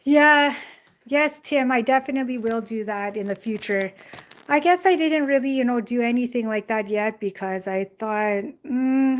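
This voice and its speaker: Chatty young woman on a phone live. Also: fairly high pitch. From Jamaica. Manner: chatty, rambling, frequent "like" and "you know". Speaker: live stream host. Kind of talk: casual live chat reading viewer comments. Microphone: phone held in hand.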